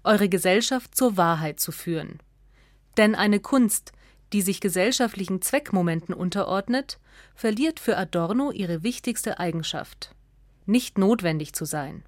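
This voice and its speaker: A woman reads a scripted radio essay as narrator, in a measured, articulate way. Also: mid-range voice, expressive, no echo, studio quality.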